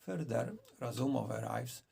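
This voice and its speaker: Man, book reviewer, measured and earnest, raspy, gravelly. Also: medium pitch, mellow. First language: Polish.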